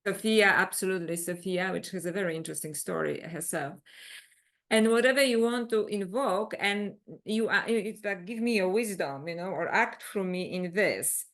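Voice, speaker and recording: A woman from Poland speaks, mid-pitched and conversational. She talks as an interview guest, lively and searching, circling her point with restarts and little "you know"s. She sounds like she is joining over a remote call. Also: moderate pace. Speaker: guest author.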